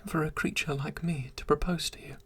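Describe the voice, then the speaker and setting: Reader doing a whispery begging little monster, breathy, keeps repeating itself, hops up higher now and then. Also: steady tone, brisk. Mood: pleading. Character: pleading lovesick scaly monster. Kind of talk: whispered story reading.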